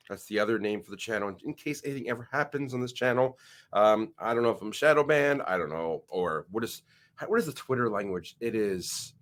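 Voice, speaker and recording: A man's voice: medium pitch, fairly bright tone. A man hosting his own channel, talking casually through news while sharing his screen. He sounds a little nasal from a head cold and often stops and restarts his sentences. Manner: rambling, halting restarts. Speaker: channel host. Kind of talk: casual screen-share commentary.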